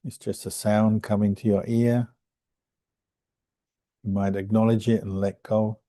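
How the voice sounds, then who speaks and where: A man guiding a meditation as a monk and teacher, speaking calmly and gently with unhurried pauses between phrases. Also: lowish voice, dry recording, clean studio sound. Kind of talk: guided meditation instruction.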